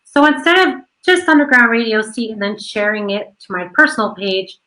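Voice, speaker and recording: A woman hosting an online radio interview, chatty and quick, laughing often. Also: fairly high pitch, expressive, bright, forceful tone, low-quality recording. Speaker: host of an online radio show. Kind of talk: casual chat.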